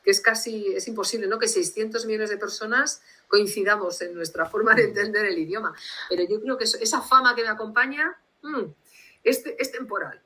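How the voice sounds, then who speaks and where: A woman's voice: mid-range voice, fairly even intonation, fairly quick, sharp tone, slightly rough, rough recording. A woman from Bilbao with a Basque Spanish accent, speaking as a guest translator on a video call in a reflective, rambling way. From Spain, Bilbao.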